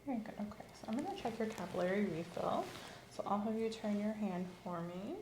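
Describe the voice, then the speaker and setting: A woman speaks softly and calmly, walking through a check-up like a gentle nurse and at times slipping into a whisper. Her voice sits at a middle pitch. The room sounds roomy and echoey, with steady ambience clearly audible behind her. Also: fairly quick, background noise. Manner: calm, gentle, clinical instructions, slipping into whispers. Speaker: ASMR creator playing a nurse. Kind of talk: ASMR medical examination roleplay.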